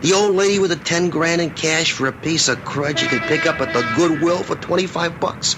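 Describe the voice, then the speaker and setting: An actor playing a cynical, scheming young hustler in old-time radio drama, slangy and insinuating. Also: male voice, fairly high pitch, fairly quick, music in the background, close to an everyday voice. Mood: suspicious, probing.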